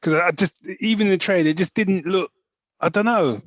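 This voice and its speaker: A man's voice: sits high, normal speed, no echo, clean studio sound. A man co-hosting a podcast, chatting casually and agreeing often with short replies. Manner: agreeable, frequent short backchannels. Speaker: podcast co-host. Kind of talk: casual chat.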